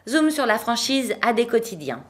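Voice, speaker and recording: A woman presenting a business news bulletin in a clear, measured read delivery and interviewing guests. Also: a little high, sing-song. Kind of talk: scripted news narration.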